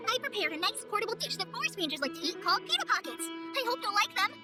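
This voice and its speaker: A young forest ranger trainee in a video game. She sounds kindly and earnest, slightly hesitant and quick to apologise, with loud music playing behind her. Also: very high-pitched, big pitch swings. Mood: friendly, eager. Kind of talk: video game cutscene voice acting.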